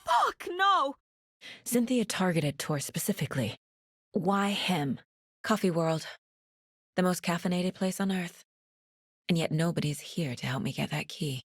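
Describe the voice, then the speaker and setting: Casting: level-headed female FBI investigator for a game drama; lowish husky tone, focused delivery, very dry sound. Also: very animated, dry recording, heavily acted. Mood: distressed, then pensive, serious. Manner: measured, serious, focused. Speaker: video game voice actress. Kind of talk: naturalistic dramatic game dialogue and inner monologue.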